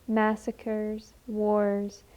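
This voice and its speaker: A woman leading a guided meditation in a gentle, measured, deliberate way. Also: mid-range voice, monotone. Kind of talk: slow, soothing guided meditation and poem reading.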